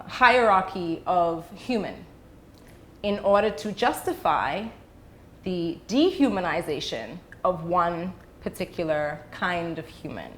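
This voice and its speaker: A middle-aged woman from the Bahamas and the UK, an educator giving explanations as an interview guest, choosing her words deliberately with pauses. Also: lowish voice, a little hiss or hum.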